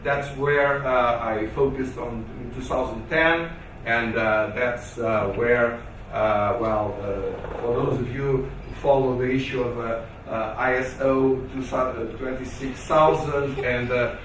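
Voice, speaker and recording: A man's voice: mid-range voice, steady tone, unhurried, a bit bright, a little hiss or hum. Portuguese man speaking in an echoey hall. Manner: hesitant, many fillers and restarts. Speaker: conference organiser giving opening remarks. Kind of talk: formal opening speech. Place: large conference hall. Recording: echoey hall, mic from a distance.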